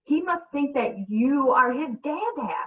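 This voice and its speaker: Exasperated single mother, defensive and animated, woman acting in comedy radio play; off-mic, dull livestream audio. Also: high voice, measured pace. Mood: relieved, tender. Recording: distant, slightly muffled livestream mic.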